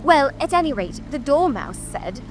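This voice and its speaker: A woman's voice: high-pitched, sing-song, moderate pace, music in the background. A woman voicing a poor, humble, muddled hatter who pleads anxiously in a storybook dramatization. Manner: trembling, anxious. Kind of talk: dramatized audiobook.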